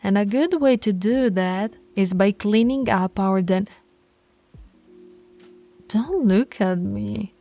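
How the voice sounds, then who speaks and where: A woman's voice: medium pitch, slow, creaky, long pauses, dark tone, faint music behind. A woman voicing a dominant female werewolf pack alpha in an audio roleplay, teasing and commanding, often dropping to a whisper. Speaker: voice actress.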